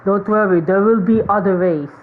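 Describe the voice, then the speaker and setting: A woman with a Filipino accent giving casual, rambling game commentary, halting and repeating phrases. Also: mid-range voice. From Philippines. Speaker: game streamer. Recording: phone-like narrow sound, slight noise.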